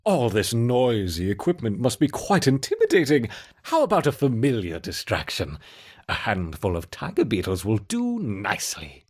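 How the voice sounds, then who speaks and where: Wildly swooping mad scientist. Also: male voice, medium pitch, wildly swooping pitch, moderate pace, a little mellow, breathy edge. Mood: sly, menacing. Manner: theatrical, mock-sweet, swinging from murmurs to shrieks. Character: unhinged male scientist, gleefully cruel, running insect experiments. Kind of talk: scripted video game voice acting.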